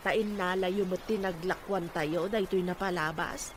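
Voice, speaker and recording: A woman's voice: fairly low pitch, steady tone, measured pace, noisy background, lightly acted. A woman playing a reproachful farm mother in an Ilocano radio drama. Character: reproachful farm mother defending her hardworking son.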